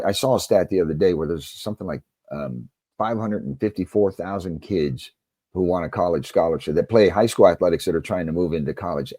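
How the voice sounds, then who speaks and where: A man's voice: deep voice, dark tone, slightly rough, no echo, studio quality. A man speaking as a coach and interview guest, answering conversationally in an earnest, emphatic way.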